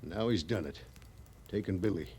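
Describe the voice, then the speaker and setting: Bitter, vengeful frontier scout. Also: male voice, low voice, normal speed, dark tone. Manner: rough rustic grammar, insistent. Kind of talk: 1950s radio western acting. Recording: noisy old radio drama recording.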